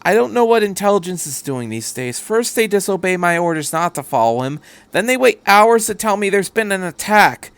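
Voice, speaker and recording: A man puts on a commanding female military captain in a story reading. The voice is pushed high, clipped and barking, with short-tempered exasperation. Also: very animated, moderate pace, a bit bright, clean tone, dry recording, full character voice. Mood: angry, exasperated. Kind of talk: fan fiction reading.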